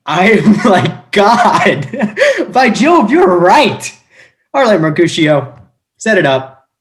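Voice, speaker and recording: Casting: dim, self-adoring young lord played by a man, stammering and whiny, highly animated delivery for stage comedy. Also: slow, exaggerated voice. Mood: smug. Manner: stammering, boastful. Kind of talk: comic stage play reading.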